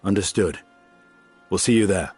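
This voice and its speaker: An actor playing a composed, businesslike male adventurer in a video game cutscene, with a husky voice. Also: sits low, moderate pace, a little mellow, soft background music. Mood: composed, brisk.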